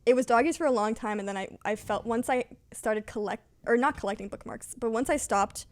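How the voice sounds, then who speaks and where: A woman's voice: a little high, brisk, no echo, studio quality. A young woman co-hosting a book vlog, chatting casually and unscripted in a playful, wry manner. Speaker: book vlogger, co-host asking questions.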